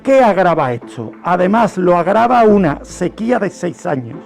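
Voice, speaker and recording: A man's voice: high voice, very animated, normal speed, vocal fry, a little mellow, soft background music. A man with an accent from Spain telling history on the radio in an unscripted, didactic way, posing questions and answering them. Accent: Spanish from Spain. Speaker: history segment speaker on a radio programme.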